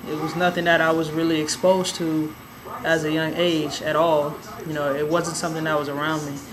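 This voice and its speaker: A young woman with a raspy, hoarse voice telling a personal story in a relaxed, rambling way with many fillers. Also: low voice, brisk, background noise. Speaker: vlogger. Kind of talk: casual personal storytelling to camera.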